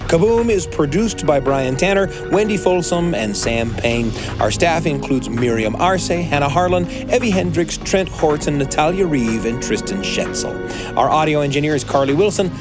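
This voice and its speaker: An American man hosting a podcast like a storyteller, with expressive, deliberate emphasis, close to a studio microphone. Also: a little high, music in the background. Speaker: podcast host.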